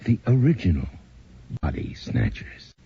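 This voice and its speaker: A man's voice: very animated, dark tone. A man hosting a radio drama, signing off and announcing next week in an ominous tone. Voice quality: deep, husky and slow. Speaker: host. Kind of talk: ominous radio host sign-off. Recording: dull old radio broadcast.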